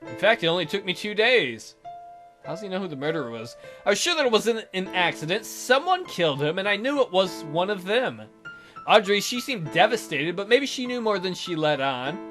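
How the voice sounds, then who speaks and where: High-voiced, animated male let's player. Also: brisk, faint music behind. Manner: joking, sarcastic. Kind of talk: casual game commentary and reading aloud.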